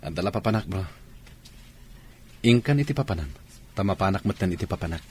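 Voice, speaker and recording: An actor with a northern Philippine accent playing an easygoing soldier in conversational radio drama dialogue, his voice husky. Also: sits low, lively intonation, brisk, darkish tone. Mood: casual. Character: easygoing male soldier about to be deployed.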